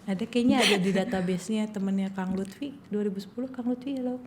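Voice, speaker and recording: A woman's voice: mid-range voice, fast talker, a little mellow, a little hiss or hum. Chatty young woman giving career advice. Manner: chatty, encouraging, laughs easily. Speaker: podcast guest, civil engineer. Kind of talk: casual advice chat.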